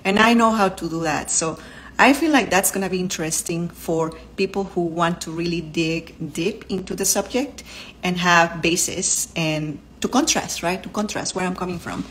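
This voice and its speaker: A woman's voice: on the low side, normal speed, fairly bright tone, slight background noise. Spanish-accented woman, earnest and explanatory. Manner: earnest, explanatory, lists terms one by one. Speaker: vlogger and doctor. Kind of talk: casual talk to camera. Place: home living room, indoors.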